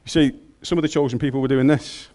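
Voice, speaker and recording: A man's voice: medium pitch, fairly even intonation, fast talker, warm tone. A man with a Scouse accent preaching a sermon in a chatty, joking way, speaking into a microphone in a large room. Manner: humorous, conversational, colloquial.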